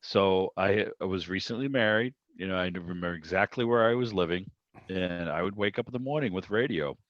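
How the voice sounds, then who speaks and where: A middle-aged man with a fairly low voice hosts an informal online meeting, talking in a conversational, reflective way. The video call sound is somewhat dull and roomy. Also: brisk. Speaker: host of a club meeting.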